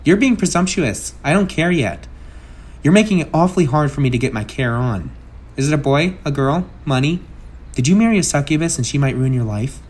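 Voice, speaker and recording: A man's voice: fairly high pitch, animated, brisk, darkish tone. A man playing a brash, sarcastic masked antihero with a kind streak, in a theatrical comic book dub. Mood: glib, joking.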